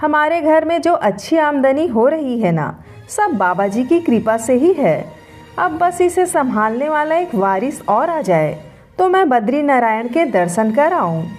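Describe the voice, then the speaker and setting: A woman's voice: sits high, very animated, measured pace, darkish tone, faint music behind. A woman voicing a devout, doting middle-aged mother-in-law in an earnest, homely, coaxing way for a story. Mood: content.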